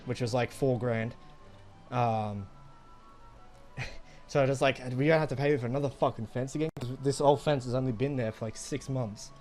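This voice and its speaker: A man's voice: medium pitch, normal speed, pauses often, a little mellow. A young man casually telling a rambling, profanity-laden personal story to friends. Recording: music behind the voice. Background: background music.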